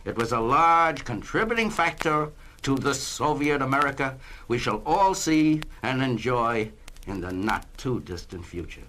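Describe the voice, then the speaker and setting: An actor playing a zealous Communist district official, speaking in a grandiose, oratorical 1960s dramatized style. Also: male voice, mid-range voice, expressive, a little slow, bright, forceful tone. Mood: fervent, proud. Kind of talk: 1960s dramatized political speech. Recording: noisy vintage spoken-word LP.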